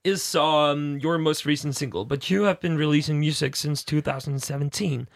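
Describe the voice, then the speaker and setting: A male interviewer leads a relaxed music chat and keeps the guest at ease with encouragement. His voice sits a little high and has a gravelly edge. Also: moderate pace. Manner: friendly, encouraging. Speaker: radio host. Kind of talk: casual interview.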